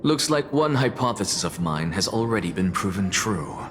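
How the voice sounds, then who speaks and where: An actor playing a calm scholar who reasons through hypotheses in a measured way. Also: male voice, on the low side, noisy background. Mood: analytical. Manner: analytical, measured. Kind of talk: game voice acting. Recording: game music behind.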